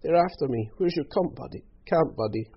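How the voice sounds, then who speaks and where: Man acting a whining, high-strung cringing villain. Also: expressive, fairly quick, dull audio. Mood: whining, fearful. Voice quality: croaky. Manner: whiny, ingratiating, uneducated slangy speech. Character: whining, cringing, wheedling villainous man, rough gang boss.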